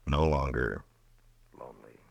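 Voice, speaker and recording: An actor in a 1950s radio western playing a gentle, stammering frontier marshal who also narrates the story. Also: male voice, a bass voice, measured pace, creaky, no room sound, full character voice. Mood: reflective. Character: weary, kindly frontier marshal, grown man.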